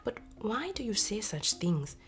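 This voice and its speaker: A kind young storybook heroine, voiced by a woman, speaks softly and with dignity. Her gentle lines move expressively up and down, with faint music behind. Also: medium pitch, sing-song, measured pace, voice pushed up. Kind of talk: storybook character voice.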